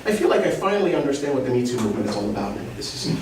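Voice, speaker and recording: A man's voice: medium pitch, big pitch swings, racing, darkish tone, slight background noise. A man from Egypt performing a stand-up comedy set, conversational and self-deprecating, often asking the crowd questions. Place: comedy club stage. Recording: echoey club from far off with crowd laughter.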